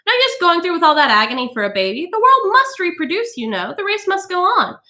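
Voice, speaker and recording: A woman's voice: extremely high pitch, sing-song, quick pace, sharp tone, pitched above the performer's own voice, exaggerated voice. A woman voicing a smug, callous suburban mother in a storybook, with a matter-of-fact, self-satisfied manner.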